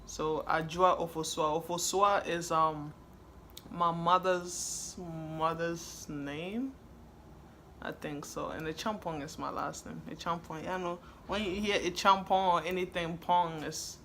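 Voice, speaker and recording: A laid-back woman talks easily about home, rambling and chuckling between answers. Her voice is bright and forward. A faint hiss sits under the recording. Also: fairly low pitch, fairly even intonation, unhurried. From Ghana. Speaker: vlogger answering questions. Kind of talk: casual chat.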